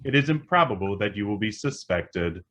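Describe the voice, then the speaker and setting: Guy doing a calm computer voice for radio drama, formal announcer type, lowish w big pitch swings. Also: normal speed. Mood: impersonal, matter-of-fact. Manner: formal, impersonal announcer-like delivery. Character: calm male talking computer appliance giving deadly advice.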